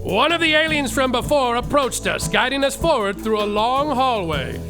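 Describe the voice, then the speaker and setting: A man's voice: very high voice, sing-song, moderate pace. A man narrating a comedic story live on a theater stage, hammy and increasingly exasperated. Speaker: narrator and lead performer. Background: live band music.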